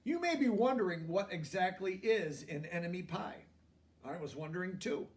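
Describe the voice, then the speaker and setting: High-voiced man reading a children's story. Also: animated, normal speed, far from the mic. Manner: explains asides simply to young listeners. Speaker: storybook reader. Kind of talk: children's picture book read-aloud, expressive narration.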